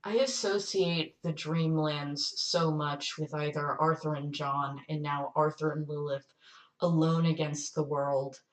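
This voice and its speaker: Woman, low deep voice, podcast guest, casual discussion, roomy echo. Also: fairly even intonation, unhurried. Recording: roomy, echoing voice track.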